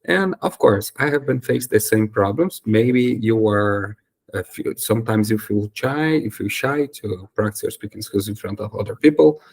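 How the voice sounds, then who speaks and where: A young man from Brazil talks straight to his viewers in English, his Brazilian Portuguese accent clear in every phrase. His voice sits fairly low and has a gravelly edge. He pauses, fills gaps and corrects himself as he goes. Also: expressive, a little mellow. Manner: hesitant, many fillers and self-corrections. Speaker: YouTuber and English learner. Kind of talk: casual solo talk to camera.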